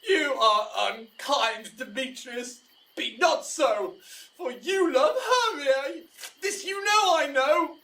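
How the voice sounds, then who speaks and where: Ardent young lover, slow theatrical delivery, distant from mic, emphatic classical verse, audio drama. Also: male voice, extremely high pitch, lively intonation, sharp tone, heavily acted. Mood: earnest. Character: young male lover, ardent, earnest, cruelly fickle.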